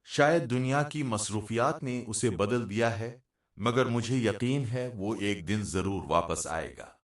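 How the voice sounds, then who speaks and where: A man acts out an older village father from a moral tale, grave and gentle, sad yet hopeful. The voice is on the low side, with strong echo around it. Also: unhurried, natural voice. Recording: echoey room, distant from the mic.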